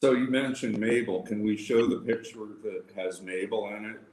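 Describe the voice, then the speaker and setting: Low-voiced man in an echoey room. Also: moderate pace, a little mellow, a little hiss or hum. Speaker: historical society president presenting a paper. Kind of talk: informal livestream lecture with read passages. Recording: roomy, echoing livestream audio.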